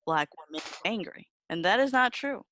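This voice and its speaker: Animated, sweary woman telling stories. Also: mid-range voice, moderate pace, slight background noise. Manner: sweary, animated storytelling with acted-out quotes. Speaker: podcast host. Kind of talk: casual, unfiltered group chat.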